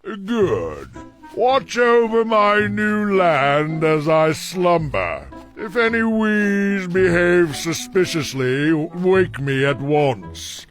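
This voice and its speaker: Very slow, pompous dragon king. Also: sits high, very animated, a bit bright, full character voice. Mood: pompous, imperious. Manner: pompous, drawling. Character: pompous, lazy, mighty dragon usurper king. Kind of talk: animated cartoon voice acting. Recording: music behind the voice.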